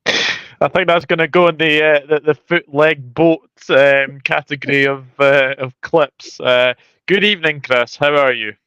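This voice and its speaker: Clear-voiced Scottish man, dry sound. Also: on the high side, fairly even intonation, unhurried, bright, forceful tone, no echo, muffled recording. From Scotland. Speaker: guest co-presenter, football fan. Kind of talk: casual chat.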